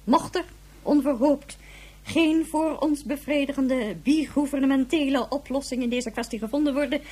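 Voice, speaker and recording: A woman's voice: high-pitched, a little mellow. An actress playing a stern female head of government in a radio drama, speaking in a formal, commanding way. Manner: formal, commanding, clipped officialese.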